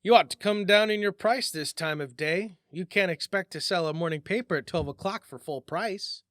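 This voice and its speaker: Man doing a shrill, wry little old man. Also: moderate pace, fairly bright tone, no room sound, clean studio sound. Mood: crotchety. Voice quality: shrill, whistling through front teeth. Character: thin, shabby old man, shrewd but kindly. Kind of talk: storybook reading.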